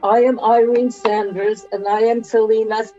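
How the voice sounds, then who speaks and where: An older woman from Poland, a Holocaust survivor, giving a plain, measured statement. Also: a little high, little pitch movement, mellow, highs cut off, soft background music. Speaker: Holocaust survivor lighting memorial candles.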